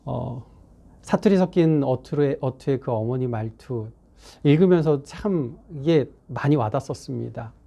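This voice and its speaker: A man preaching a sermon in an earnest, reflective storytelling manner, slowing down on key phrases. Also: mid-range voice, lively intonation, gravelly, dark tone, lo-fi sound.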